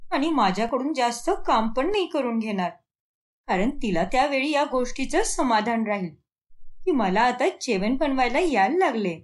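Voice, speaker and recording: A woman acts out a young cartoon daughter in a high voice. She is whiny and work-shy, always complaining or coaxing someone to help her. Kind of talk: cartoon moral story acting.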